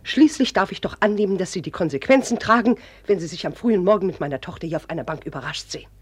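A commanding, indignant aristocratic mother in a radio drama. Her voice is husky, and she speaks with formal, haughty authority. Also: fairly low pitch, expressive, fast talker, dry recording. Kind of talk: German radio drama acting.

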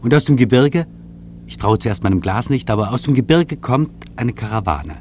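An actor as a contemplative male air traveller tells his journey in a radio play. His voice sits at a medium pitch and his delivery is measured. A faint noise hums behind the vintage recording. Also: lively intonation, darkish tone, lightly acted. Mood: absorbed, wondering. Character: reflective male air traveller observing from a plane. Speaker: travelling narrator.

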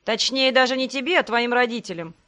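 A woman's voice: fairly high pitch, brisk, a bit bright, natural voice. A woman voicing a venomously sarcastic young nobleman in a fantasy audiobook, with mocking, teasing irony. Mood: gleeful mockery.

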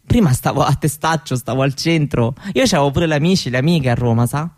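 A woman playing a candid, proud seventeen-year-old Roma girl in a radio monologue, with a Roman Italian accent and halting colloquial talk that often seeks agreement. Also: low voice, animated, darkish tone. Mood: chatty, earnest. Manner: colloquial, halting, seeking agreement with little tag questions. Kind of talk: conversational theatrical monologue for radio.

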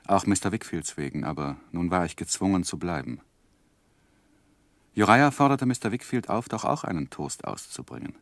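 Deep grave guy telling his life story, old radio drama, very dry sound. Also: expressive, no echo. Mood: pained narration. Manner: grave, measured, literary. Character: earnest gentleman narrating his own life story. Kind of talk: 1960s radio drama narration.

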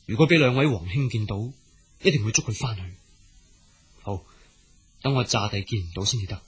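A pensive young prince from a radio drama. His voice is very husky and rough at a middle pitch, and he speaks earnestly, sighing and musing as if to himself. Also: expressive, a little fast. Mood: hesitant, secretive. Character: gentle compassionate young prince, pensive, self-sacrificing. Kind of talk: Cantonese radio drama acting.

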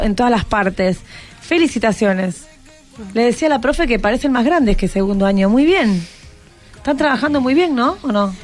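High-voiced Rioplatense Argentine woman hosting radio. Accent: Rioplatense Argentine Spanish. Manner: encouraging, informal, asks many short questions. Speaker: radio host. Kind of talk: casual interview chat. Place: radio programme recording. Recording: music playing under the voice. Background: music.

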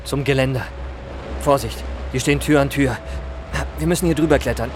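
A man's voice: mid-range voice, brisk, noisy background, close to an everyday voice. A man playing an edgy, jaded banker in a radio crime drama, speaking in terse, clipped phrases. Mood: tense, terse. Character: male banker, jaded, edgy, caught in a mystery. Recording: music behind the voice.

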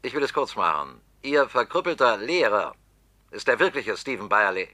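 An actor playing a scheming political opponent in a radio drama, talking bluntly and sarcastically. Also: male voice, lowish voice, sing-song, moderate pace, sharp tone, heavily acted. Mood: pressing. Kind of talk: 1960s-70s radio drama acting.

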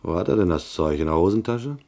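Low-voiced, laconic hard-boiled detective. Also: male voice, expressive, quick pace, warm tone. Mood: skeptical, dry. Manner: laconic, dry, sardonic. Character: wry, skeptical male private detective telling his case. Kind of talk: radio drama narration and dialogue, hard-boiled crime.

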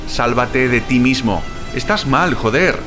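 A man's voice: mid-range voice, expressive, measured pace, close to an everyday voice. A male actor with a Spanish accent from Spain playing an alarmed, defiant, sarcastic brother in an audio drama. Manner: agitated, incredulous, sarcastic. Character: alarmed, defiant, sarcastic younger-generation brother, bewildered and foul-mouthed. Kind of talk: Spanish audio fiction acting with inner narration. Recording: dramatic music bed under the voice.

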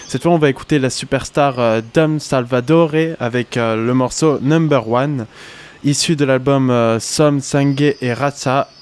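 A man with a French accent hosting a campus radio music show, talking in a relaxed, halting way with fillers. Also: medium pitch, clean tone. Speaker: radio host. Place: radio studio.

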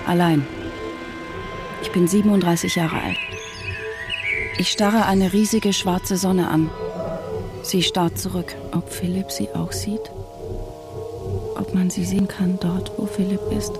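Brooding wife in her 30s, lowish voice, hushed slow radio drama monologue, music behind it. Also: steady tone. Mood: bleak, hushed. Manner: hushed, deliberate, short sentences, sometimes near whisper. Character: brooding wife of a vanished tycoon, 37, mother. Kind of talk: literary radio drama monologue, first person.

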